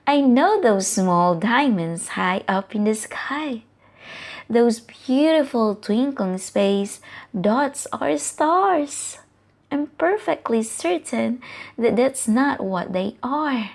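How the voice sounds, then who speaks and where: A woman voicing a plucky, determined young boy space adventurer from a storybook, speaking in an earnest, deliberate way. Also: on the high side, lively intonation, exaggerated voice. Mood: certain.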